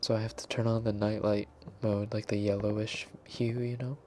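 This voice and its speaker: A sleepy man chatting quietly on a late-night stream, drifting into whispers. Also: lowish voice, flat delivery, normal speed, slight background noise. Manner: drowsy, half-whispered. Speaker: ASMR streamer.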